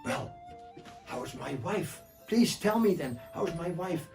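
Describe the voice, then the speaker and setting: A man puts on a greedy desert man who begs for news in rapid repeats. The voice jumps very high and loud, with a gravelly rasp, recorded from afar over faint music. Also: distant mic. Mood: eager. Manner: pleading, repetitive, excitable.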